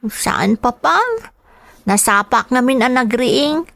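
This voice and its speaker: A woman's voice: sits high, big pitch swings, slow pace, gravelly, a bit bright, no echo, heavily acted. A woman playing a dutiful young granddaughter in a radio drama, respectful and youthful, with an Ilocano accent. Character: dutiful young granddaughter, obedient but a little reluctant. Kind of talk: Filipino radio drama acting.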